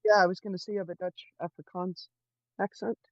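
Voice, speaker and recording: A man from California with an American accent, chatting casually in a halting, hesitant way. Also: high-pitched, flat delivery, highs cut off. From California, United States. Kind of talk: casual chat.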